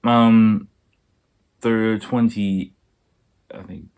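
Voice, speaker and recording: A slightly hoarse man hosting a casual solo podcast, talking in a laid-back, rambling way with plenty of swearing. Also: on the low side, steady tone, very slow, a little mellow. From United States. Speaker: podcast host. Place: Japan.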